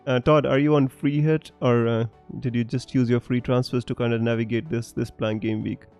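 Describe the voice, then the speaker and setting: Young guy from punjab, mixed accent, rambling live stream chat, soft warm voice, faint music under it. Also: mid-range voice, level tone, normal speed. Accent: mixed, hard to place. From Punjab, India. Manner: chatty, frequent fillers and restarts, laughs often. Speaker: fantasy football streamer.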